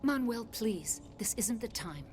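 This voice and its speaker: A woman playing an earnest, composed scientist in a video game, speaking in a measured, articulate way. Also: on the high side, animated. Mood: soothing. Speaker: scientist in charge of an excavation. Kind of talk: dramatic video game dialogue. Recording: loud game music under dialogue. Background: music.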